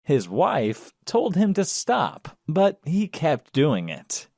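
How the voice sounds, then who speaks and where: Guy reading a kids bedtime story, really animated pitch but slow, dry close sound. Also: creaky, breathy edge, no room sound. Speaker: storybook narrator. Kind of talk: scripted children's story narration.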